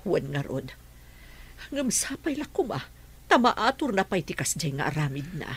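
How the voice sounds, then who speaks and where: A woman's voice: fairly low pitch, very animated, normal speed, slightly rough, full character voice. A woman playing a caring, worried middle-aged mother in a radio drama.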